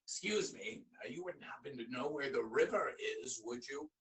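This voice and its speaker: A man's voice: mid-range voice, animated, normal speed, fairly bright tone, recorded from a distance, voice pushed down, close to an everyday voice. A man voicing a thirsty crocodile that asks casually for water.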